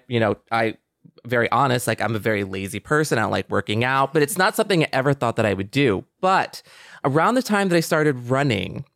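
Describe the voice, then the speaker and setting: A man's voice: medium pitch, no echo, clean studio sound. A man co-hosting a podcast in a casual, reflective chat, talking candidly and conversationally. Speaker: podcast co-host.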